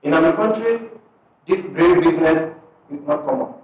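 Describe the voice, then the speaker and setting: A man with a Ghanaian accent, an imam and missionary, addressing a community event in measured, halting phrases. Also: high-pitched, sing-song, creaky. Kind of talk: public address at a community giveaway. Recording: echoey hall, far from the mic.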